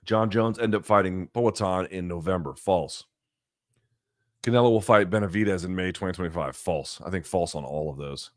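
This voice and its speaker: A man's voice: sits low, moderate pace, no room sound, clean studio sound. A middle-aged man hosting a live chat, reading viewer questions and answering in a blunt, profane, casual way. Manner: blunt, profane, animated asides.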